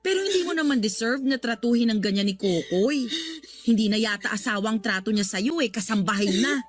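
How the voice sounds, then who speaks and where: A woman's voice: on the high side, expressive, a little fast. A woman with a Filipino accent playing a blunt, protective friend in a radio drama, emphatic and indignant. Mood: sympathetic, indignant. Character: indignant, protective female friend of a wronged wife. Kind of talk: Tagalog radio drama acting.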